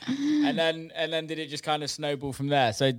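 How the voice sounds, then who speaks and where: A man's voice: a little high, big pitch swings, moderate pace, no room sound. A man interviewing someone in a casual chat, speaking in an informal, jokey and sweary way.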